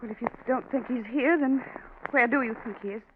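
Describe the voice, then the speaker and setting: A woman's voice: a little high, fairly quick, lightly acted. An American woman playing an anxious, emotional daughter in a 1940s radio drama, on a tinny, hissy old recording. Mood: anxious, demanding. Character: Anita, anxious, emotional daughter of a missing man.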